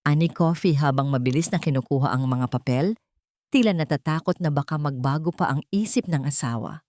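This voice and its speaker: A woman's voice: sits low, a little fast, a little mellow, no room sound, studio quality. A woman narrating a scripted audio drama in a dramatic storytelling style.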